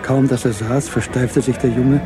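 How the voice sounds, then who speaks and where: Measured male narrator with music behind. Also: mid-range voice, steady tone. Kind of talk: scripted audio drama narration.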